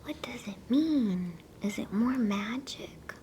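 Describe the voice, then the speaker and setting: Woman as an anxious girl, pitched up. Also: lively intonation, slow, warm tone, slight background noise. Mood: upset. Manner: urgent, whispered questions. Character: older sister, anxious questioning schoolgirl. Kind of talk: whispered ASMR storybook reading.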